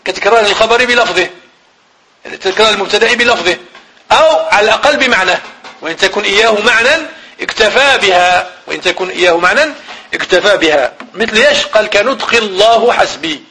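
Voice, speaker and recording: A man's voice: sits high, lively intonation, quick pace, sharp tone, slight background noise, poor audio. A man with a Moroccan Arabic accent teaching a grammar lesson. He repeats phrases, asks the class questions and then answers them himself.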